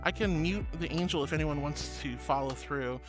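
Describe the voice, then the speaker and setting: A woman's voice: deep voice, normal speed. A woman streamer chatting casually with her viewers, reading their comments aloud and calling them darling, honey and sweetie with a playful, giggly manner. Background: background music.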